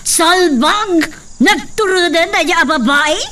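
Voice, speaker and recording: A high, domineering female voice from an actress plays a wealthy, hot-tempered matron in a radio drama. She scolds and gives orders. The recording sounds distant, with faint noise behind it. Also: takes it slowly, fairly bright tone, exaggerated voice. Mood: scornful, angry. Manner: scolding, demanding.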